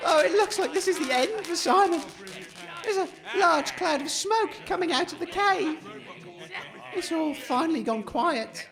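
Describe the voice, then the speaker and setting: A man playing a nervous, excitable radio broadcaster giving melodramatic commentary on his own peril in a comedy audio drama. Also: extremely high pitch, a little slow, gravelly, music in the background, exaggerated voice. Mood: dramatic.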